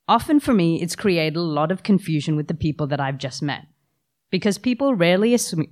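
A woman, who has the flu, telling a personal story with wry humor to a live audience. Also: lowish voice. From Australia. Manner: wry, self-deprecating humor.

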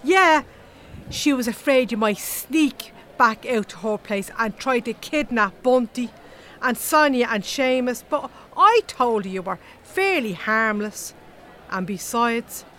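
An actress playing a blunt, chatty, gossipy Irish animal-rights activist with an earthy colloquial manner in a comedy audio drama. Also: female voice, fairly high pitch, slow, sharp tone, a little hiss or hum. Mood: teasing, gossipy.

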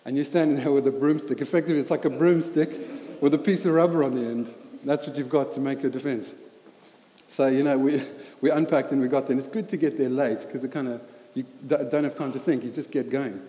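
A man in his thirties with a South African accent, preaching in a church hall. He talks in an earnest, halting way with many fillers and restarts, and his voice is mellow and mid-pitched. The sound is roomy, with a long hall reverb. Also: fairly even intonation. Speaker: lay preacher. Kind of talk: sermon with personal story.

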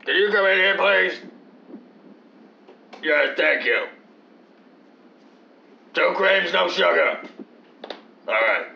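Man playing a gravelly, sharp-edged radio casting man. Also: high-pitched, lively intonation, slow, long pauses, exaggerated voice. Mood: apologetic. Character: blunt, slick male radio casting man, hemming and hawing. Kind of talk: old-time radio comedy acting. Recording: noisy, dull old radio sound.

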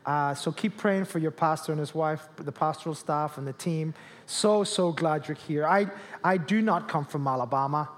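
A man, a guest pastor preaching a jokey, animated sermon to a church crowd. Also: fairly high pitch, moderate pace. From Spain, Canary Islands. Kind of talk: sermon with humor. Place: church hall with audience. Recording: long reverb of a large hall.

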